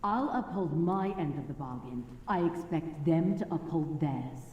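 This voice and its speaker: An actress playing a cold, ambitious villainess sorceress in a video game, imperious and threatening. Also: female voice, low-pitched, expressive, measured pace, muffled recording. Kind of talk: scripted video game acting. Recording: echoey hall reverb, faint noise.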